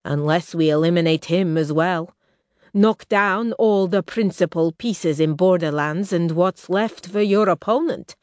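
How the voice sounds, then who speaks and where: A woman voicing a scheming, blunt foreign prince in an audiobook, speaking slowly and calculatingly. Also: fairly low pitch, a little mellow, no echo, studio quality, close to an everyday voice. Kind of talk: audiobook character reading.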